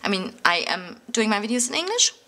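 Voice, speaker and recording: A woman's voice: mid-range voice, very animated, a little fast, sharp tone. A woman with a German accent from Berlin, a native German speaker, rambling in casual English with many fillers and restarts to her planner channel's viewers. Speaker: planner vlogger. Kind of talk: casual talk to camera. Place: indoors at a table, overhead camera on hands.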